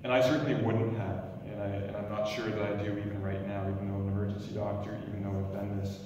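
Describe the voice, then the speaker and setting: A man in his thirties delivers a loud, energetic startup pitch, often restarting his phrases. His voice sits a little low. He is heard from far off in a big echoey lecture hall, with a steady hiss of background noise. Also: fast talker, muffled recording. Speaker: emergency doctor pitching a startup. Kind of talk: pitch presentation. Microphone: stand mic, recorded from far camera.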